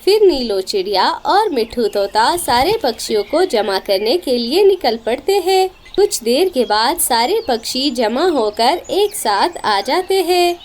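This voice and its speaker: A woman's voice: sits high, sing-song, a little slow, hardly any pauses. A woman reading scripted narration for a children's cartoon story.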